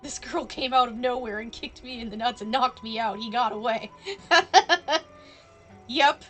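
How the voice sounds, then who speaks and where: A woman's voice: fairly high pitch, a little slow, fairly bright tone. A woman game streamer reacting casually on a live stream, joking and self-deprecating. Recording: game music behind the voice.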